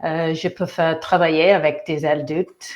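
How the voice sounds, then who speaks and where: Slow, halting woman with an English accent. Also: low voice, steady tone, darkish tone. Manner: halting, searching for words. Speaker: English teacher. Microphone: video call.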